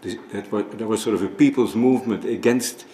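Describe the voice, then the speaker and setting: A man from the Netherlands giving a formal presentation at a conference, measured and often restarting his sentences. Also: fairly low pitch, mellow, a little hiss or hum. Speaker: conference speaker. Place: conference room. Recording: echoey hall with faint ambience.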